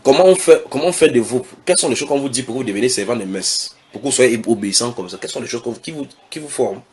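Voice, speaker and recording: A man hosting a religious talk, speaking fast and emphatically as he questions his guest and repeats his words. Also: mid-range voice, slight background noise. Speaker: host, preacher.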